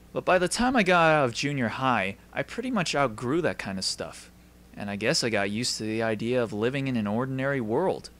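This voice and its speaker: Male actor as cynical teen schoolboy narrator, anime dub, dry and wry, forward bright tone. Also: mid-range voice, lightly acted. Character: cynical deadpan teenage schoolboy narrator, wistfully world-weary.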